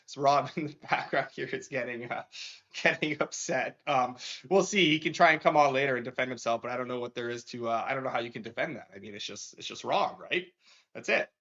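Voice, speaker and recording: A man's voice: mid-range voice, fairly quick, sharp tone. A man hosting a casual sports betting show, upbeat and laughing, with many fillers. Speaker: show host. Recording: dull lo-fi sound.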